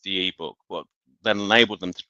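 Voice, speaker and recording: Male webinar expert, forceful low voice, explanatory, slightly dull call audio. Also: fairly quick, no echo. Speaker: industry expert panelist. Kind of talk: conversational business webinar discussion. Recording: dull, band-limited online call audio.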